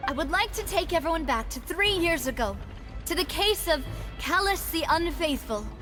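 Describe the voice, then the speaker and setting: A woman's voice: very high-pitched, lively intonation, normal speed, fairly bright tone, soft background music. An actress playing a determined young woman accuser in a video game, speaking earnestly and firmly. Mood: resolute. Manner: earnest, firm, articulate. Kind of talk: scripted game dialogue.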